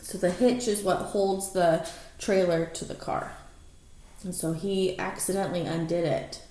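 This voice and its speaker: A woman reading a rhyming picture book aloud to young children as an animated storyteller, stretching key words and adding asides and questions for the listeners. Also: fairly low pitch, unhurried. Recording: roomy echo, faint background noise.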